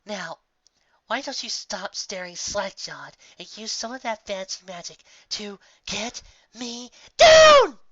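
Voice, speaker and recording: Indignant pony mare for a cartoon fan story, acted by a narrator: snappish, sarcastic, swinging pitch, close dry sound. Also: huge pitch swings, takes it slowly, fairly bright tone, no room sound, muffled recording, full character voice. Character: indignant earth pony mare stuck to ceiling.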